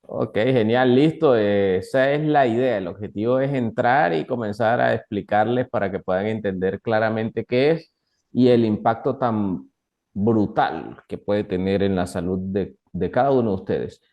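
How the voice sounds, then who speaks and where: A young man with a Colombian Caribbean accent, explaining things as an expert guest in an interview, in a halting way with repeated words. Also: lowish voice, lo-fi sound. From northern Colombia. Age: young adult. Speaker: guest doctor, nutrition expert. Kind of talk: interview, explaining to a lay audience.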